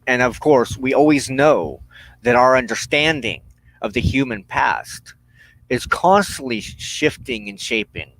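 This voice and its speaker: A man hosting a spiritual broadcast, giving a reflective, unscripted monologue in an earnest, halting way with emphatic phrasing and pauses. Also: medium pitch, lively intonation, fairly bright tone, low-quality recording. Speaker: host of a weekly spiritual broadcast.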